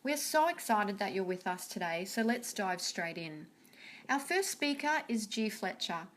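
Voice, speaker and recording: A woman hosts the webinar and opens it with a scripted welcome. Her delivery has a bright, inviting announcer tone, at a middle pitch. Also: lively intonation, a little slow. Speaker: webinar host.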